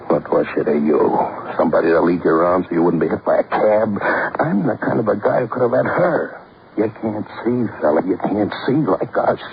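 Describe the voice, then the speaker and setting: An actor playing a cornered, cruel killer who taunts with tough contempt in a 1950s radio crime drama. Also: male voice, deep voice, lively intonation, fairly quick, vocal fry. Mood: cruel taunting. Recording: dull, noisy old radio recording.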